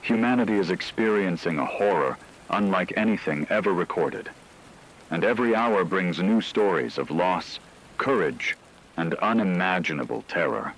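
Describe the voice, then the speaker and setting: A man reading a scripted emergency radio broadcast in a solemn, ominous, measured way. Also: low-pitched, lively intonation, fairly bright tone, rough recording. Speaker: radio announcer.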